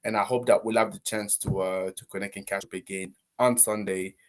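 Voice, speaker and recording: A man hosting a live stream, talking casually and warmly to viewers, rambling with many fillers and restarts. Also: on the low side, fairly even intonation, fairly quick, a little hiss or hum. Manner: friendly, encouraging, addresses viewers directly. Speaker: host of a live business stream.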